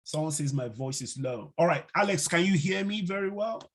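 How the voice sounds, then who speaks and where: A man teaches a live online training session over a video call. His voice sits a little high and sounds husky. He talks unhurriedly, padding his sentences with fillers and pausing to quiz the class. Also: expressive. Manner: unhurried, filler-heavy, asks the class questions. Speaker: instructor. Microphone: video call mic.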